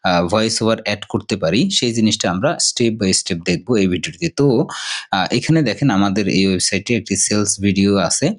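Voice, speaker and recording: A man with a fairly low voice walks through software on screen. He explains casually, step by step, and often adds a short question to check that the listener follows. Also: moderate pace. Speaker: software tutorial host. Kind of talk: casual screen-share tutorial.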